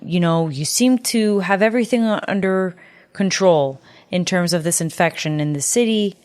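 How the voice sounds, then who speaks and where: This woman speaks in a fairly low register, recorded close and dry. She vents with exasperation and heavy emphasis, piling up slang and swearing. It is the loose, casual style of a podcast co-host. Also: normal speed, a little mellow, no echo. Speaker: podcast co-host reviewing a horror film. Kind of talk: casual, profane film discussion.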